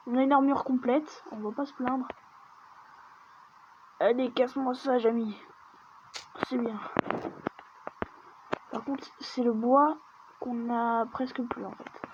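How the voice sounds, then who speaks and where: A woman giving casual let's-play commentary, chatting and muttering to herself as she plays, often dropping to a near-whisper. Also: on the high side. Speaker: gaming video creator. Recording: dull lo-fi mic with steady background noise.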